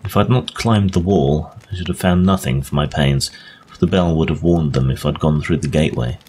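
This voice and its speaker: A man voicing a genial gentleman ghost hunter who explains his case in conversational, old-fashioned phrasing, as in a storybook reading. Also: extremely low pitch, fairly quick, slightly rough. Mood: explaining.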